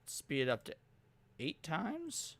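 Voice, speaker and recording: A man's voice: on the high side, huge pitch swings, takes it slowly, fairly bright tone, no room sound. A man giving casual live commentary while he works, muttering to himself and thinking aloud. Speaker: reaction host.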